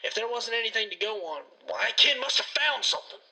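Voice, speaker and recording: A man's voice: very high-pitched, lively intonation, a little fast, gravelly, sharp tone, heavily acted. An actor playing a defiant, paranoid male conspiracy-theorist host in a radio drama, with a folksy, suspicious, ranting manner. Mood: defensive. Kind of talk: radio drama phone interview.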